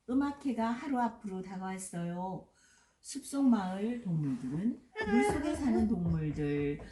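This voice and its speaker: An older woman narrating a children's storybook in an expressive, dramatized way. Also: medium pitch. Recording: roomy echo, faint background noise.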